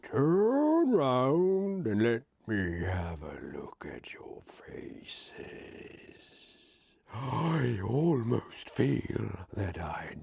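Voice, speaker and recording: A man voicing an ancient, solemn male tree giant who speaks slowly in a drawn-out half-whisper. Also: fairly low pitch, creaky, darkish tone, husky, voice pushed down, full character voice.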